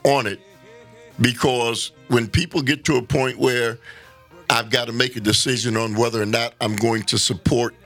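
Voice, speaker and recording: A man speaking earnestly as a guest in a casual conversation, halting and repeating words as he builds his point. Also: mid-range voice, fairly even intonation, fairly bright tone, faint music behind.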